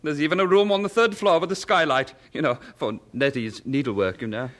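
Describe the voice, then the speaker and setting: A man's voice: fairly high pitch, a little fast, a bit bright, natural voice. An actor playing a fussy, polite elderly Englishman in an old-time radio drama, chatty and a little hesitant. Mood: genial. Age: older.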